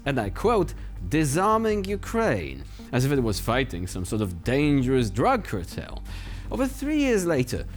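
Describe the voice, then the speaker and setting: A man hosting a news show, delivering scripted satirical commentary in a sardonic, mocking manner. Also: a little high.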